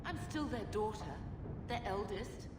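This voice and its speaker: A woman's voice: mid-range voice, lively intonation, a little slow, lightly acted. A woman playing a proud, aggrieved older noblewoman and mother in a video game, indignant and pleading. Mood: wounded. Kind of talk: dramatic video game acting. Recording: roomy echo, distant, loud background noise.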